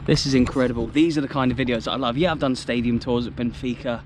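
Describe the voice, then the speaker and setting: A man's voice: mid-range voice, steady tone, quick pace, darkish tone, slight background noise. An English man filming a football travel vlog, walking round a stadium outdoors and exclaiming about it to a handheld camera. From England. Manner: enthusiastic, exclaims often.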